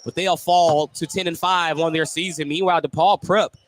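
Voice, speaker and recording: A man's voice: on the high side, fairly even intonation, normal speed. A man calling live basketball play-by-play as a radio announcer from a gym. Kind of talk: live sports commentary. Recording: light gym noise behind the commentary. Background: gym ambience.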